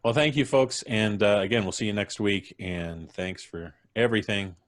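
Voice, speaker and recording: A man's voice: lowish voice, normal speed. A man hosting a casual live stream chat. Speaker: host.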